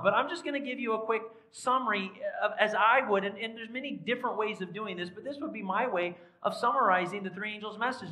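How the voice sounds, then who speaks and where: A man in his forties preaches across a church stage, his bright, forceful voice dropping to quiet asides and then rising to loud, emphatic lines. He is tired from a recent cold but stays animated. He is picked up on a body mic in the large sanctuary. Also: high-pitched, low-quality recording. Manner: animated, pacing the stage with sweeping gestures; swings from soft asides to loud emphatic declarations. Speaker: pastor. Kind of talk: sermon.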